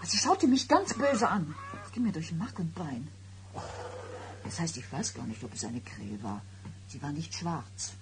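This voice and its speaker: An actress playing an agitated, suspicious and sarcastic pregnant wife of thirty-nine in a German radio drama, with a biting, questioning delivery. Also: female voice, sits low, animated, a little slow, noisy background. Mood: uneasy. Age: middle-aged.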